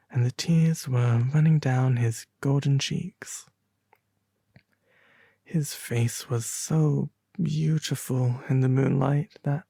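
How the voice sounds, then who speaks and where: A man voicing a refined owl demon prince, reading a fairy tale as a soft, theatrical ASMR storybook roleplay. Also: mid-range voice, no echo. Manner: refined, theatrical, unhurried, breathy asides.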